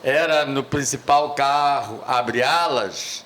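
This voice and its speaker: An older man in the audience asks a long, rambling question at a panel talk, reminiscing and pausing to search for words. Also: medium pitch, fairly bright tone, slight background noise. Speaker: audience member asking a question. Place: lecture hall at a public event.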